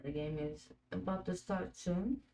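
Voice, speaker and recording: A woman in her twenties with a lowish voice, chatting unhurriedly on a live fan stream. She is a Croatian native speaker who laughs easily and answers viewer comments as they come in. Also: unhurried, warm tone, slight background noise. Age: 20s. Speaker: fan panel host. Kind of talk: casual live watch-along chat.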